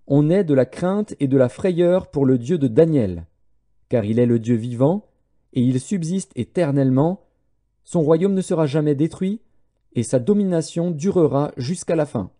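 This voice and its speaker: A stately king , read by a male narrator, proclaims a royal decree in a scripture audiobook. His tone is dark and mellow, his pitch lifting and moving with formal solemnity. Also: lively intonation, a little slow. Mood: solemn proclamation.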